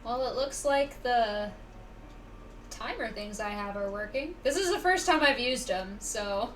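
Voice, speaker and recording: A woman livestreaming a game, chatting casually to viewers in a halting, musing, informal way. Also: a little high, expressive, fairly bright tone. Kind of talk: casual chat to stream viewers. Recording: slightly distant mic, faint background noise.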